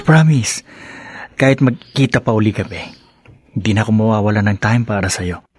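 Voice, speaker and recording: A man's voice: on the low side, moderate pace, breathy edge, no room sound, clean studio sound. A man playing a broke, cheeky young boyfriend who jokes and coaxes his girlfriend in a radio drama. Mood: joking. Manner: joking, coaxing.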